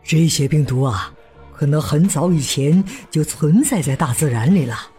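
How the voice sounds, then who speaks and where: An actor playing a gentle father who patiently explains things to his child in simple words, in a children's radio drama. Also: a little high, expressive, slightly rough, soft background music. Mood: patient, explaining. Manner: slow, simple explaining with soft sentence particles.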